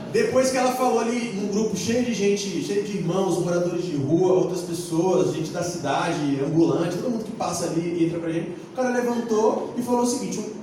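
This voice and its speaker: High-voiced Brazilian man in an echoey room. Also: darkish tone. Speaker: forum panelist. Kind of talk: casual anecdotal panel talk. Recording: echoey room, distant mic, faint noise.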